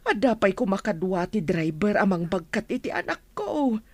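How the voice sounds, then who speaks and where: A woman's voice: mid-range voice, sing-song, normal speed, darkish tone, no room sound. A woman playing an anxious, protective middle-aged mother in an emotional radio drama, fretting over her child. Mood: anxious, tender. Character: anxious, protective mother of a sick grown daughter. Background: music.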